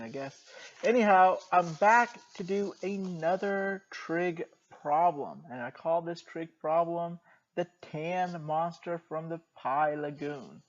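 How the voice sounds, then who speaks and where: A soft-spoken man with a slightly high voice walks through a math problem at a slow, careful pace and slips in dry jokes. The recording sounds dull and lo-fi, like a basic home lesson video. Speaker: math teacher.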